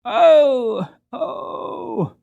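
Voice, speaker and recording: A man's voice: very high voice, expressive, very slow, gravelly, warm tone, no room sound, dull audio, voice pushed up, exaggerated voice. A man voicing a hurt boy who wails and pleads for help in a storybook reading. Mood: wailing, pleading. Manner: wailing, pleading.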